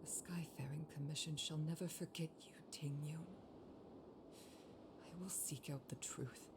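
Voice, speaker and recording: An actress playing a proud, grieving military commander in a video game, speaking formally and gravely. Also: female voice, low-pitched, fairly even intonation, in a whisper. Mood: solemn. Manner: formal, measured, grave delivery. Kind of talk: scripted video game acting.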